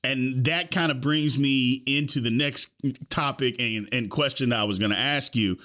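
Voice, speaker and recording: A man's voice: medium pitch, moderate pace, sharp tone. A man with an American accent hosting a casual sports talk podcast and putting questions to his guest. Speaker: podcast host, interviewer.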